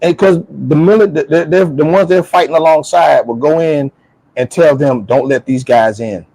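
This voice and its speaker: A man's voice: a little high, moderate pace, warm tone, no echo, low-quality recording. A man talking casually and with strong opinions, emphatic and repeating phrases for stress. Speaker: talk show speaker.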